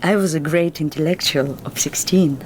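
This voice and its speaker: An actress with a Russian accent playing a guarded, earnest young Russian woman in a film drama who speaks in a formal, measured and reserved way. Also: sits low, a little hiss or hum, natural voice, low-quality recording.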